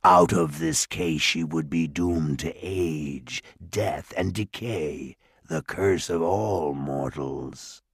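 A man voicing a haughty, scheming dwarf lord in an audiobook, speaking in a slow, gloating, menacing way. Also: very deep voice, husky, no room sound, studio quality, pitched below the performer's own voice, heavily acted. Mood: sneering. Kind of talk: audiobook character voice.

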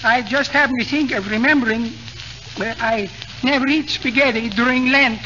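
An actor playing a friendly, excitable immigrant man who mangles names and grammar in a 1940s radio comedy. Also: very high voice, moderate pace. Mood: polite, apologetic. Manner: mispronounces names, muddled grammar. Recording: noisy, muffled 1940s radio recording.

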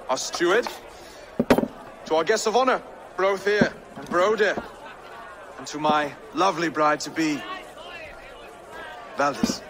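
A man acts out a mild, earnest young Saxon lord with an English accent in a game cutscene. His voice sits a little high and speaks with courteous, measured care, over some background noise. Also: lightly acted. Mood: gracious. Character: gentle, earnest young Saxon thane, would-be king. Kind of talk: video game cutscene acting.

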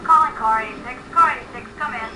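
A woman's voice: on the high side, fast, close to an everyday voice. Echoey, formal police dispatcher played by a woman. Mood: official. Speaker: actress playing a police dispatcher. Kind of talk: radio call in a film comedy. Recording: echoey distant playback, music behind.

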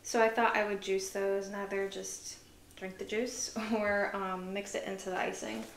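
A woman vlogger chatting casually through a grocery haul, relaxed and full of fillers, sounding tired. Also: medium pitch, normal speed. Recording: echoey room with noise.

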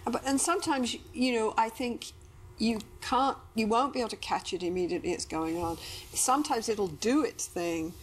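A woman's voice: on the high side, normal speed, slight background noise. A middle-aged woman, guiding a couples therapy conversation with questions and example phrases. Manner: coaching, asks questions and acts out example lines. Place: television-style studio set with sofas.

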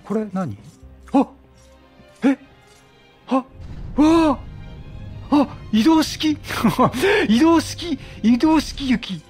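A man's voice: very high-pitched, lively intonation, extremely slow, pauses often, soft background music. A talkative man doing chatty, reactive game commentary as a let's play streamer, exclaiming and reading game text aloud.

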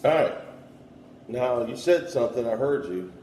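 A man's voice: mid-range voice, animated, moderate pace. A middle-aged man hosting a paranormal investigation, talking off the cuff and putting questions aloud to unseen spirits in a bare room. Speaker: paranormal investigator, show host. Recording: echoey room with light background hum.

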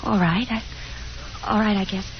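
An actress playing a shy, fragile young daughter, hesitant and meek, in 1950s radio drama style. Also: female voice, mid-range voice, steady tone, normal speed, natural voice. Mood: shy, tender. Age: young adult. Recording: dull, noisy 1950s radio broadcast.

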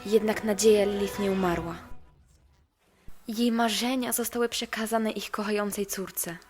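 A woman voicing an idealistic, anxious young princess of hell in an animated fan dub, earnest and expressive. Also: medium pitch, normal speed, faint music behind, natural voice. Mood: solemn storytelling. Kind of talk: fan-dubbed animation, storybook narration and dialogue.

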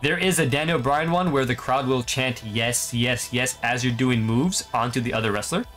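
A man's voice: mid-range voice, normal speed. A man in his twenties from Canada presenting a video game update to viewers from a bedroom. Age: 20s. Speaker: gaming video creator and host. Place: small bedroom, indoors. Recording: music behind the voice.

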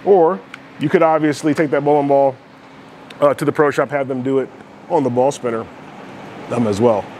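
A man's voice: medium pitch, steady tone, normal speed, pauses often, a little mellow, slight background noise. A man giving casual, explanatory commentary on a bowling ball test in a bowling alley, often checking in with "right?". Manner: explanatory, many fillers and "right?" asides. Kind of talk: casual product demo commentary.

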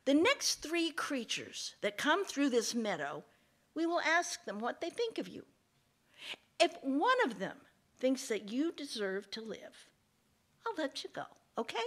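A treacherous little snake from a storybook fable, played by a woman, high and bright. He wheedles like an old pal, then taunts, with big swoops in pitch. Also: sing-song, a little slow, full character voice. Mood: scornful, toying. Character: sly, treacherous, wheedling male snake who gloats.

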